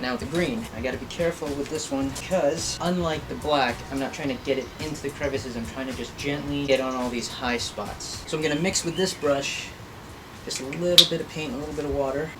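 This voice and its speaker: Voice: mid-range voice, brisk, background noise. A person giving casual, self-deprecating hobby commentary in an informal way, talking through each step of the work. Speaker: craft video creator. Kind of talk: casual hobby vlog commentary while painting. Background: music.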